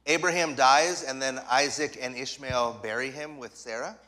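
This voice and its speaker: A man preaching a sermon in short phrases, pausing for an interpreter. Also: medium pitch, steady tone, bright, forceful tone, low-quality recording. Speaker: guest preacher. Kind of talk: sermon in English with live interpretation. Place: church sanctuary.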